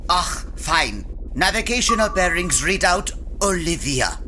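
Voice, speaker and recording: An actress playing an imperious, evil submarine captain with a cartoonish German accent, barking orders in an audio comedy. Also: female voice, fairly low pitch, big pitch swings, a little slow, bright, forceful tone, slight background noise, full character voice. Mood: exasperated. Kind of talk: comedic audio drama acting. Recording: music bed behind the voice.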